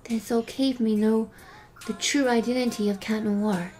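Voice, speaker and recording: Woman voicing a tiny high-pitched magical sprite. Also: steady tone, normal speed, darkish tone, close to an everyday voice, low-quality recording. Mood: solemn. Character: tiny female magical sprite, caring, wise, fond of cookies. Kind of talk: fan audio drama acting. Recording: music under the voice.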